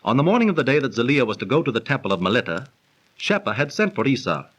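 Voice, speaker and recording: Lively, learned radio storyteller. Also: male voice, mid-range voice, fast, slight background noise. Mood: storytelling. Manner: theatrical, didactic, polished. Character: genial, learned young man explaining legends. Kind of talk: 1930s radio acting and storytelling. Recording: old 1930s radio transcription recording.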